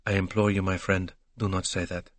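A man voicing a fussy, courteous Belgian detective in an audiobook, thoughtful, formal and measured. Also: sits low, flat delivery, dry recording, natural voice. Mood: gently exasperated.

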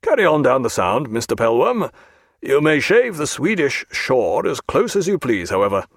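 Audiobook role: genial, unflappable warship captain, assured old-fashioned orders, animated delivery, close dry recording, acted by a male narrator. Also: mid-range voice, no echo. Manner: assured, measured, courteous, old-fashioned naval phrasing. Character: calm, hearty, genial naval captain in command.

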